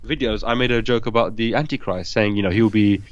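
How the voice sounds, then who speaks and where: Man, host, lowish voice, animated storyteller, dry close sound. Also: fast, no room sound, lo-fi sound. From Pakistan, raised in the UK. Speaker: podcast host. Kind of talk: casual conversational interview.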